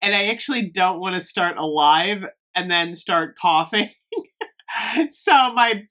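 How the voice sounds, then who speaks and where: A woman from Northeast Ohio vlogging casually about fragrances, slightly hoarse, chatty with lots of fillers. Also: on the low side, unhurried, fairly bright tone. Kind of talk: casual chat to camera.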